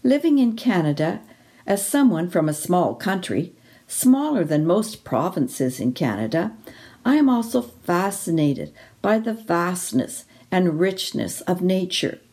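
A woman reading a written reflection aloud during a church service at a steady, even pace. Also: on the low side. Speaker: worship reader.

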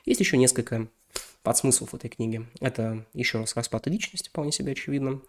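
Fast-talking man rambling through a book review. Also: mid-range voice. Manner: rambling, many fillers and self-corrections. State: slightly ill. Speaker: podcast host reviewing a novel. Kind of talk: casual spoken book review.

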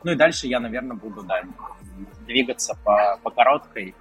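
Husky-voiced man chatting as podcast guest. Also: mid-range voice, brisk, a bit bright, muffled recording, soft background music. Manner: talkative, restarts and fillers while explaining. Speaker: podcast guest, film director. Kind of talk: casual conversation.

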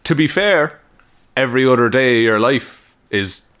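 A man's voice: mid-range voice, moderate pace, fairly bright tone, clear, resonant tone. An Irish man co-hosting a comedy podcast with casual, wry, jokey banter. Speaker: podcast co-host.

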